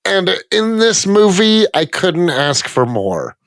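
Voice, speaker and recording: High-pitched, lively male podcaster. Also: slow pace, no echo. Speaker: podcast co-host. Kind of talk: casual chat among friends.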